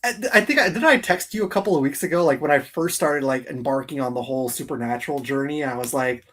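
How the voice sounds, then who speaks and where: Husky-voiced man hosting casually. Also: medium pitch, fast talker, a bit bright. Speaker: host of a geek countdown livestream. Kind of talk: casual, opinionated chat.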